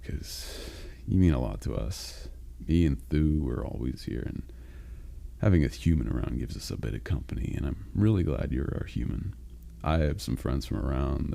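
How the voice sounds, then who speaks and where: A man voicing a caring male sleep paralysis demon, speaking softly, with halting reassurance, in a comforting roleplay monologue. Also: heavily acted. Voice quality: deep gravelly growl. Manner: soft, reassuring, halting and unhurried.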